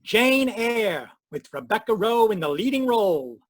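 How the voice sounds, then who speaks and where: A man performing a 1940s radio network announcer. His voice is high for a man, and he presents in a formal, showy, scripted style. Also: big pitch swings, unhurried, exaggerated voice.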